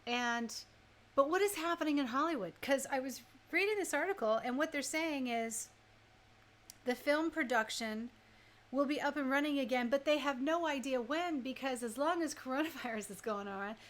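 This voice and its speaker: Chatty woman radio host, higher-pitched voice. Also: moderate pace. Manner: conversational, chatty, talks directly to listeners. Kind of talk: casual live chat to listeners.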